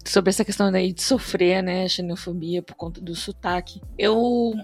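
A woman's voice: fairly low pitch, moderate pace, a little mellow, breathy edge. A young Brazilian woman with a northeastern accent tinged by São Paulo speech, chatting casually and rambling with many restarts and "né" tags. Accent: Brazilian Northeastern mixed with São Paulo. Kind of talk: casual conversation.

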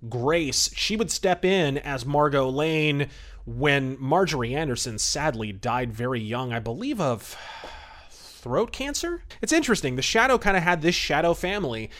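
A man's voice: on the high side, lively intonation, measured pace, fairly bright tone. A man hosting a podcast, giving casual, enthusiastic commentary in an emphatic, conversational way. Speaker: podcast host.

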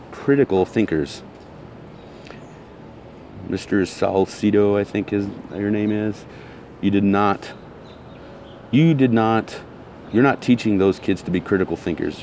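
A man's voice: fairly low pitch, darkish tone, a little hiss or hum. A man ranting in a casual monologue, blunt and heated, repeating himself, his throat still recovering from soreness. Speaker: vlogger.

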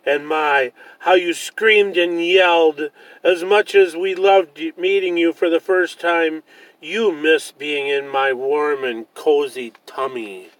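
A man voicing a loving, gentle mother bear who reassures her cub in a children's storybook. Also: fairly high pitch, steady tone, takes it slowly, no echo, voice pushed up, poor audio. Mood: animated.

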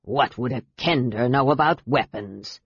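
Gruff elderly dwarf, played by a woman: low, husky, grumpy and headstrong, storybook fantasy, boxy echoing sound. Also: expressive, measured pace, highs cut off, heavily acted. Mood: indignant. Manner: grumbling, stubborn. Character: gruff old male dwarf, irascible and headstrong.

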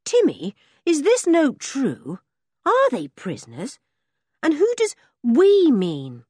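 A woman reading an audiobook voices a frightened, gentle young girl who talks in an anxious, pleading way. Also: high voice, wildly swooping pitch, slow pace, no echo, studio quality, pitched above the performer's own voice, heavily acted.

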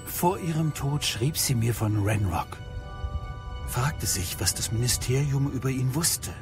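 Man acting a slow, expressive ministry wizard. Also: mid-range voice. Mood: grave. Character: courteous, grave male ministry wizard, flattering old friend. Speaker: voice actor in an audio drama. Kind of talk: dramatised fantasy radio play. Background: music.

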